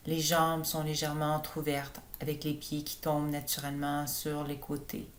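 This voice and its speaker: A woman with a low voice leads a guided relaxation for sleep. She gives her instructions slowly and soothingly, like a calm yoga teacher. A faint low rumble sits behind her. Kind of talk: guided meditation narration for sleep.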